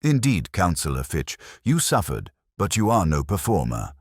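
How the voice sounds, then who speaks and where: A man voicing a mild, courteous police inspector in a mystery story, speaking formally and deliberately. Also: deep voice, huge pitch swings, darkish tone, husky, no room sound, clean studio sound, heavily acted. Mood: calm, deliberate. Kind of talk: acted dialogue in story narration.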